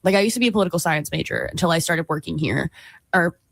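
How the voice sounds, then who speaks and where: A woman's voice: fairly low pitch, lively intonation, quick pace, gravelly, no room sound. Young woman host, giggly and rambling, dry close mic. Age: young adult. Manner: giggly, rambling, lots of likes and restarts. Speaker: college radio host. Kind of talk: casual chat.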